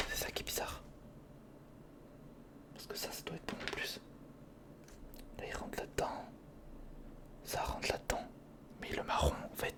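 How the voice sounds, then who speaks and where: A man whispering informal ASMR commentary close to the microphone, murmuring step by step to himself and the viewer as he works. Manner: murmured, informal running self-talk addressed to the viewer. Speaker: ASMR video creator. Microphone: close recorder microphone.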